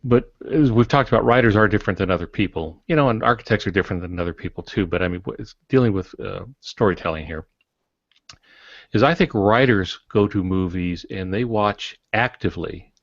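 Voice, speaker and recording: A man with a low voice co-hosts a relaxed discussion podcast. He explains his points in a measured, conversational way. The sound is very dry and somewhat dull. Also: no echo. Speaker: podcast co-host.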